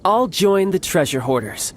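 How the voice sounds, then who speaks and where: An actor playing a smooth, cocky young male agent in a video game, theatrically polite and condescending. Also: high voice, sing-song, moderate pace, a little hiss or hum. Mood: cocky, playful. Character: suave, cocky young male foreign agent posing as salesman. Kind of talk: video game character acting.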